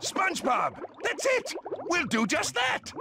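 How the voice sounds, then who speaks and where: An older, blustery crab restaurant boss for a cartoon game. The voice is high and gravelly and leaps widely in pitch, with a hearty, bossy sailor manner. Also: male voice, huge pitch swings, slow, background noise, full character voice. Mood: excited, scheming. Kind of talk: cartoon video game acting.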